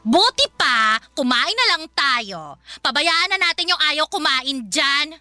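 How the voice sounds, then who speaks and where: Brash, high-pitched, bright-voiced mother. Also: lively intonation, slow pace, no echo, studio quality, faint music behind, heavily acted. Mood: teasing, mocking. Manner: scolding, exclamatory, teasing. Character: brash, defensive, flirty mother of five. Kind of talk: Filipino radio drama acting.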